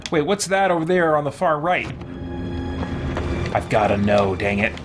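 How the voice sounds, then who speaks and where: A man's voice: a little high, very animated, moderate pace. Man streaming a game, music behind. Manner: rambling, thinking aloud. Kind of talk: casual livestream gameplay chat, reading viewer comments. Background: background music.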